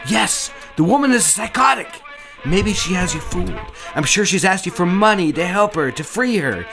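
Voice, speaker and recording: A stern, controlling family man played by an actor in an audio drama, with a fairly high voice that swings widely in pitch. He is commanding and condescending, and there is music in the recording. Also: expressive, moderate pace. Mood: angry, scolding. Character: controlling, stern, contemptuous adult family patriarch.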